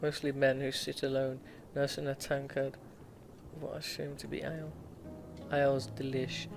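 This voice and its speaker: A man's voice: mid-range voice, completely flat delivery, moderate pace, gravelly. A male game streamer reading a visual novel aloud, stumbling over the text and dropping in casual, joking asides. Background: game music.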